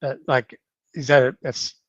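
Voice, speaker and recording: A podcast host in a casual interview, asking halting, conversational questions. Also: medium pitch, fairly even intonation, no room sound, dull audio. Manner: halting questions, frequent short acknowledgements.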